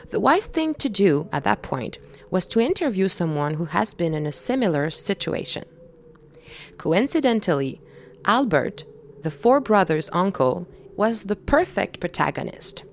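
A woman's voice: lowish voice, slight background noise. Thoughtful woman narrator in her thirties. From Quebec. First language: French. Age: 30s. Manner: reflective, measured. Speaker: documentary host. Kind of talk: scripted narration and interviewing.

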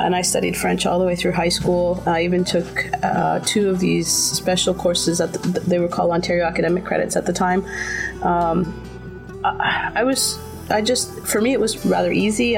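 A woman's voice: on the low side, fairly even intonation, normal speed, gravelly, over music. A middle-aged woman whose first language is Finnish, answering interview questions in a thoughtful way, with many fillers and restarts.

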